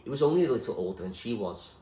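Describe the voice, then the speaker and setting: A man reading a novel aloud as expressive storybook narration, like a teacher reading to a class. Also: lowish voice, rapid-fire, reverberant.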